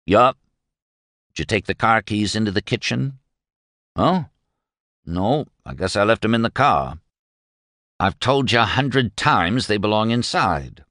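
A rough hired hand, as a male narrator plays him, drawling and insolent, with a low voice that rises into mocking swings. Dry and close to the mic. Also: lively intonation, measured pace, long pauses, a bit bright, dry recording. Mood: derisive. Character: surly rough hired man, derisive.

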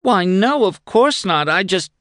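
Man putting on a high, coy, coaxing young woman. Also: expressive, measured pace, a bit bright, no echo, clean studio sound. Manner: purring, coy, wheedling.

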